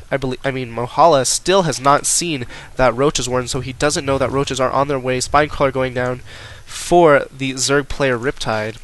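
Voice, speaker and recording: A man's voice: medium pitch, rough recording. Stuffy-nosed young man casting a game. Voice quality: nasal, congested. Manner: casual, self-correcting, rising excitement in big moments. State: stuffy nose. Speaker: esports caster. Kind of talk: live game commentary.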